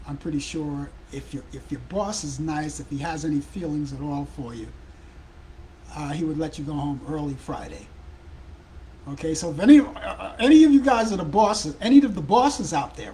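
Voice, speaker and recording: A man from Brooklyn with a Brooklyn accent hosting a live talk show, chatting casually in a hoarse, worn-out voice. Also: on the high side, a little mellow, a little hiss or hum, low-quality recording. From Brooklyn, New York. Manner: rambling, chatty, addresses viewers and callers by name.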